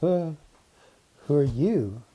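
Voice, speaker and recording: A storyteller voicing a gentle, friendly little schoolboy in a children's storybook. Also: medium pitch, lively intonation, slow pace, breathy edge, pitched above the performer's own voice, rough recording. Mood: hesitant.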